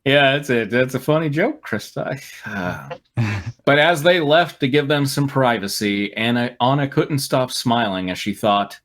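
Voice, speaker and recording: A man's voice: medium pitch, lively intonation, unhurried, a bit bright. A man reading a story aloud as host and breaking off for sarcastic, irreverent asides. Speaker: host and reader on a fan fiction riffing show.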